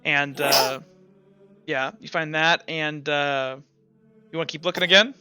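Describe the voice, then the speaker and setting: Bright-voiced rambling game master, lo-fi. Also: male voice, a little high, fairly even intonation, unhurried, clean tone, no room sound, faint music behind. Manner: rambling, heavy use of "like" and "uh" fillers. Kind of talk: narrating a tabletop game over voice chat.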